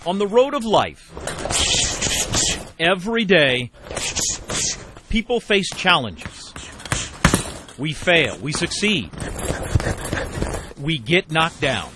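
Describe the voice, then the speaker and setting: A male television reporter with a fairly high voice narrates a scripted feature. He tells it emphatically, in short, deliberate phrases. Clear noise sits behind his voice. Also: big pitch swings.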